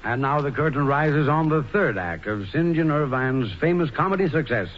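A man's voice: fairly high pitch, expressive, normal speed, dull audio, a little hiss or hum. A man announcing a radio theatre program in a formal, scripted 1940s broadcast style.

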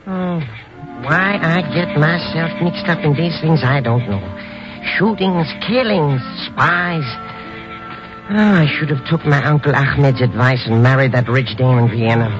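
An actor playing a nervous, whining, cowardly comic sidekick in a 1950s radio drama, stammering and grumbling. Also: male voice, a little high, unhurried, natural voice. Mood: fretful grumbling. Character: jittery, whining, comic small-time sidekick, a cowardly man. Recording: dull vintage radio recording with music.

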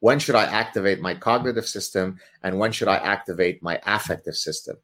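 A man whose first language is Arabic, a guest author explaining his ideas in a lively, theatrical, lecturing way. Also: deep voice, a little fast. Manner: lecturing, theatrical, uses vivid examples. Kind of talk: animated explanatory talk.

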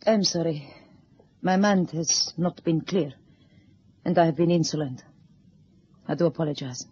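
A woman's voice: low voice, measured pace, dark tone, a little hiss or hum. An actress playing a nervous, apologetic woman captive who speaks formally and hesitantly in a 1960s radio drama. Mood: anxious. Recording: dull old radio broadcast.

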